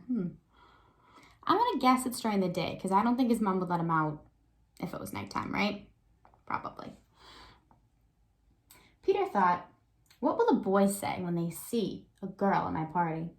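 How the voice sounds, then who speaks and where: A woman's voice: medium pitch, very animated, normal speed, long pauses. A woman, an elementary teacher giving a read-aloud lesson to young children and thinking aloud with prompting questions.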